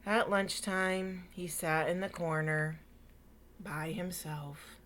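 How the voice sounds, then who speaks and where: Expressive woman reading a children's story. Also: on the low side, slow pace, slight background noise. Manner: animated, dramatic, with hushed asides to young listeners. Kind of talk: expressive picture-book read-aloud to children.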